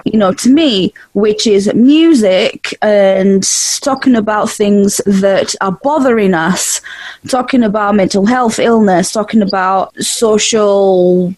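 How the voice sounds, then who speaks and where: A woman's voice: medium pitch, takes it slowly, a little mellow, dry recording, low-quality recording. A woman in her thirties from Portugal with a Portuguese accent tinged by Yorkshire, hosting a casual podcast chat, talking chattily with frequent laughs and restarts. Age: 30s. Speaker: podcast host. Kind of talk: casual conversational interview.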